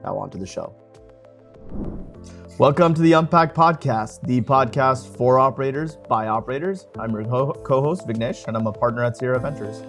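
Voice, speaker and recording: A man co-hosting a podcast, giving a short sponsor thanks and opening the show in a brisk, scripted way. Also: mid-range voice, lively intonation, a bit bright. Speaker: podcast co-host. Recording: faint music behind the voice.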